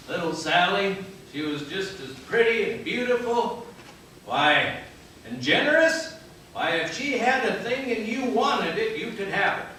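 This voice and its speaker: A bright-voiced southern storyteller played by a man rambles fondly and earnestly through a tall tale. The voice swings high and animated, from far back in a very echoey hall. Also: exaggerated voice. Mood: fond, admiring. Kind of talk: folksy comic monologue on stage. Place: live radio theater stage. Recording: very echoey theater, far mic, faint noise.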